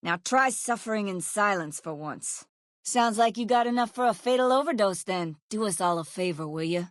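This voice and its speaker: A woman's voice: mid-range voice, expressive, moderate pace, dry recording, clean studio sound, natural voice. A woman playing a foul-mouthed, sardonic ex-sheriff turned caravan guard in a video game, blunt and folksy in her speech. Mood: angry, contemptuous. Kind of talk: video game character voice lines.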